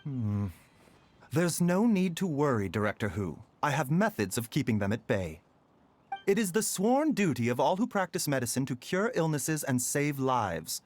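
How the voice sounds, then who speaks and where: A man playing a courteous, enigmatic young doctor in a video game, measured, formal and persuasive. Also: medium pitch, very animated, darkish tone, a little hiss or hum, lightly acted. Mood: calm.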